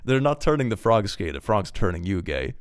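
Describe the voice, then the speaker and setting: A man's voice: fairly low pitch, very animated, fairly quick, clear, resonant tone, no room sound, studio quality. A man reading out and reacting to viewer chat in a casual stream, chuckling often and trailing off with fillers. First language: German.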